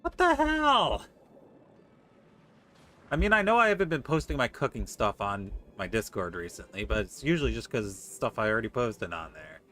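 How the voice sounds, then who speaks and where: A man's voice: medium pitch, very animated, moderate pace, sharp tone. A man giving casual live game commentary to his chat, with a playfully exasperated, exclamatory manner. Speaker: game streamer.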